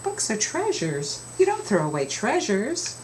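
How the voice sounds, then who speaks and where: A woman voicing a gentle male dump keeper in a storybook, chiding in a deliberate way. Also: medium pitch, wildly swooping pitch, slight background noise, voice pushed up, low-quality recording. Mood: gently scolding.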